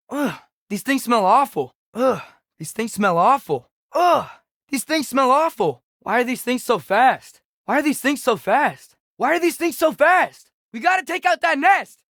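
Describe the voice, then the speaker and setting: A man's voice: very high voice, creaky, bright, forceful tone, no room sound, clean studio sound, exaggerated voice. A young male actor with an American accent voicing an eager, earnest teenage boy archer in video game combat callouts. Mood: annoyed, then urgent. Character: eager teenage boy warrior archer, loyal, quick to react.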